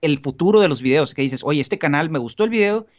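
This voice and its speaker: A man talks at length in an earnest, rambling way, circling back with restarts and fillers. His voice sits slightly high, and the recording sounds dull and lo-fi. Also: lively intonation, fast. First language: Spanish. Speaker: guest. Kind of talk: casual podcast conversation.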